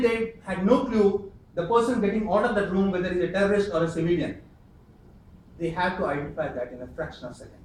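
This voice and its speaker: Man speaking in an echoey hall. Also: sits high. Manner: earnest, emphatic, pausing often. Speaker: club speaker. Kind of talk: prepared speech. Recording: echoey room, distant mic, faint hiss.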